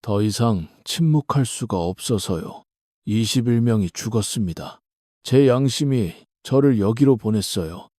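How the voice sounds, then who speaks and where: A man's voice: lowish voice, animated, unhurried, dark tone, no room sound, studio quality. A man playing a humble, conscience-stricken old worker in a historical drama, hesitant and deferential. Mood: remorseful. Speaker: voice actor.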